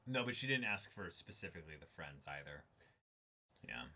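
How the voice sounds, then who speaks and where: A man co-hosting a manga reading stream, chatting casually in an expressive, exclamatory way. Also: sits low, normal speed. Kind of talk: casual discussion.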